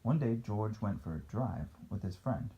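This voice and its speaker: Mellow dad reading a storybook, slightly muffled. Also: male voice, lowish voice, animated, normal speed, a little hiss or hum. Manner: playful, engages the child with questions. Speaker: father reading a picture book aloud to a child. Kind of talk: storybook read-aloud with casual asides.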